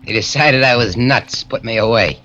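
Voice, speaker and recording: A man's voice: mid-range voice, moderate pace, sharp tone, a little hiss or hum, close to an everyday voice. A man playing a hard-bitten male convict on the run, with streetwise slangy tough-guy talk, in 1940s radio acting on a dull lo-fi recording. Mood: menacing.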